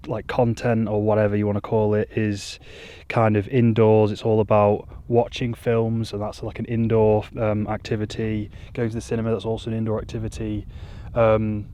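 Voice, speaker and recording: A man, talking to camera in a rambling, self-deprecating monotone on a hilltop, into a mic with a furry windscreen. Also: fairly low pitch, moderate pace, clear, resonant tone. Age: 20s. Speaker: YouTuber. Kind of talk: casual vlog talk to camera. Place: outdoors on a hilltop.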